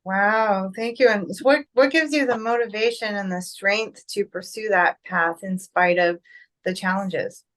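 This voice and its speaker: A woman hosting a casual interview, asking questions and giving frequent soft encouraging responses. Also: medium pitch, a little slow. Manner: supportive listener, frequent soft "mm-hmm" and "yeah" backchannels. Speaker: interview host.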